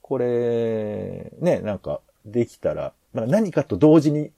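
A man's voice: medium pitch, very animated, slow, creaky, dark tone, no echo. A man hosting a podcast, talking casually through planning ideas in a hesitant, rambling way as he thinks aloud. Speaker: podcast host.

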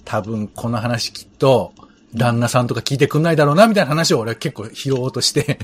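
A man co-hosting a casual talk, rambling in a halting, stammering, self-deprecating way. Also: mid-range voice, slightly rough. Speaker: co-host.

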